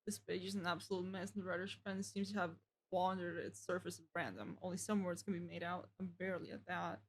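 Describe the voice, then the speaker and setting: A woman's voice: fairly low pitch, little pitch movement, fairly quick. A woman streaming a game, reading its text aloud and reacting casually.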